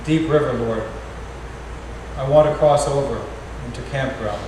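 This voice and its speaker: A middle-aged American man, a parish artist in residence, reads a wry poem in a gentle, measured way with pauses at a farewell gathering. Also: mid-range voice. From United States. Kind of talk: poetry reading at a farewell gathering. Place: large echoey parish hall. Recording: echoey hall from far off, noisy.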